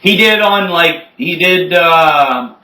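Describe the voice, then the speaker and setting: A man's voice: a little high, expressive, slow, bright, forceful tone, slight background noise, rough recording. A man of twenty chatting as a podcast guest, crude and joking, often laughing as he talks. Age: 20s. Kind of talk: casual chat.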